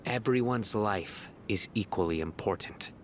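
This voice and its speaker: An actor playing a stern, principled young general in a video game, speaking in a grave, measured, authoritative way. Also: male voice, fairly low pitch, background noise, natural voice. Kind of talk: video game character acting.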